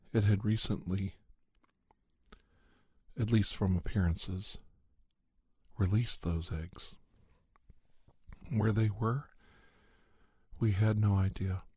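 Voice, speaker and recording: A man playing a grave, gentle doctor who recounts paranormal creature hunts in a soft, husky ASMR storytelling style. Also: fairly low pitch, steady tone, slow, long pauses, no room sound, heavily acted. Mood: hushed, regretful. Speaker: storyteller, podcast narrator.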